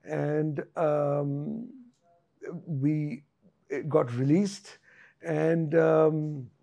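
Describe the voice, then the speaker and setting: A middle-aged man, a singer and composer, talking through his career in an interview, with many pauses and fillers. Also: on the high side, fairly even intonation, vocal fry, warm tone. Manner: halting, many fillers and restarts. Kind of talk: reflective interview talk.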